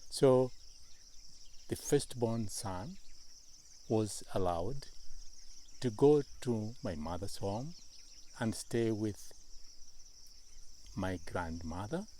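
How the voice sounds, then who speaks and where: A middle-aged man from northern Malawi with a Malawian accent, telling his family's story in an interview in short, measured phrases. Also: fairly low pitch, animated, mellow, a little hiss or hum. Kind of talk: slow, deliberate storytelling in an interview.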